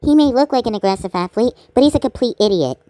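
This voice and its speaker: A woman's voice: a little high, expressive, quick pace, warm tone, no room sound. A woman doing amateur cartoon voice acting in an exaggerated, theatrical manner.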